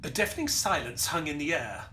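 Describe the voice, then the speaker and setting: An actor playing a polite, earnest detective's companion who narrates an audio drama in a formal, theatrical storytelling manner. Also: male voice, a little high, sing-song, brisk, sharp tone, a little hiss or hum. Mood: dramatic narrating.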